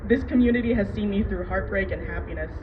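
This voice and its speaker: High-voiced man giving an earnest speech. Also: fast. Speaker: community speaker at a ceremony. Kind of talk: prepared heartfelt public speech. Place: outdoors at city hall. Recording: dull outdoor event audio with loud noise.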